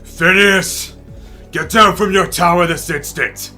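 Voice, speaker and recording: A man playing a gruff, stalwart military captain who speaks in terse commands in an audio drama. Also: sits high, takes it slowly, bright, forceful tone, soft background music, exaggerated voice. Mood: furious shouting. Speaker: voice actor in audio roleplay.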